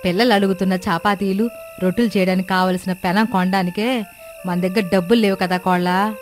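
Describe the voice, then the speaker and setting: A woman's voice: mid-range voice, steady tone, a little fast, natural voice. A woman voicing a worried, complaining poor village mother-in-law in a children's story cartoon. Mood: fretful complaining. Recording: music bed behind the voice.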